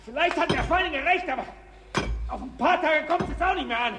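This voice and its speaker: An actor playing an excitable, greedy scavenger in a radio drama. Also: male voice, extremely high pitch, brisk. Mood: nonchalant. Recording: echoey, with clinking metal noise.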